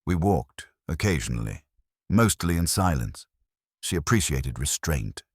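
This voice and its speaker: Male narrator as fussy older gentleman, very low husky voice, stiff, formal, guarded, mystery story. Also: sing-song, a little slow, dry recording, clean studio sound, heavily acted. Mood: prim, guarded.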